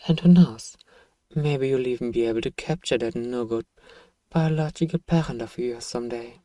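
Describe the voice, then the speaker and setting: A man's voice: medium pitch, moderate pace, dark tone, no echo, lightly acted. Man acting as pompous evil emperor parent, dry close sound. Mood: doting, scheming. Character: evil emperor, self-righteous scheming parent soothing a child. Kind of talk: ASMR bedtime story roleplay.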